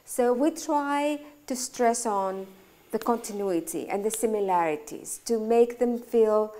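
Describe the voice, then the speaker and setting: A woman's voice: on the high side, a little slow, dark tone. A woman from Greece, speaking English with a Greek accent, who runs a school and answers interview questions in a searching way, restarting her sentences often. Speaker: school director. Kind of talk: conversational talk interview.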